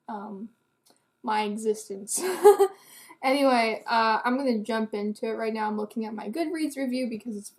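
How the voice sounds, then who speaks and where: An eighteen-year-old woman giving a casual, rambling book review to camera in a candid, self-deprecating, hesitant way. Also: a little high.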